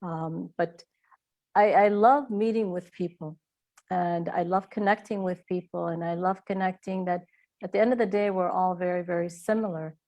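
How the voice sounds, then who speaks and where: A woman's voice: lowish voice, steady tone, moderate pace, a little mellow. A middle-aged woman from Iraq, an author answering interview questions in a reflective way with frequent hesitations.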